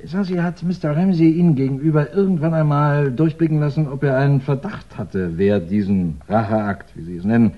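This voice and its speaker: A man's voice: fairly high pitch, fairly even intonation, brisk, few pauses, close to an everyday voice. An actor playing a Scotland Yard investigator in a 1960s radio crime drama, formal, polite and persistent in his questioning. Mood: polite, probing. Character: courteous, probing Scotland Yard police investigator. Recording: dull vintage 1960s radio recording.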